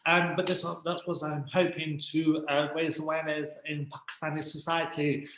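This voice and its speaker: Man, interview guest, halting speech, frequent restarts, fairly high pitch, echoey recording. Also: flat delivery, noisy background. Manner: halting, many restarts and fillers. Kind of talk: casual interview talk.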